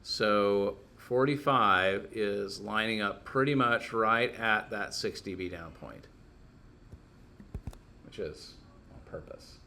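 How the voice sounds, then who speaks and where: A man's voice: lowish voice, slow pace, vocal fry, sharp tone, a little hiss or hum. A man teaching a casual hands-on technical lesson, informally thinking aloud as he works through each step.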